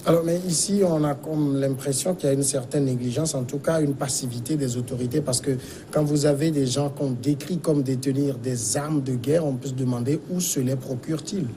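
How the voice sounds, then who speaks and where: Male news interviewer over clear background noise. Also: medium pitch, fairly even intonation, fairly quick. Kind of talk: broadcast news interview questioning.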